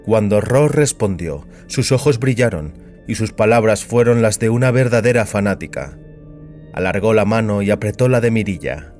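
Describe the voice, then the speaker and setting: A man's voice: on the low side, moderate pace, a little mellow, soft background music. A man reading a science fiction story as scripted dramatic narration.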